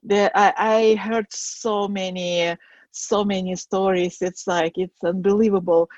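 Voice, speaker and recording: A woman with a Lithuanian accent, a guest designer on a podcast, speaking hesitantly with many pauses and fillers. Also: medium pitch, little pitch movement, a little mellow, no echo, highs cut off. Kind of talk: podcast interview.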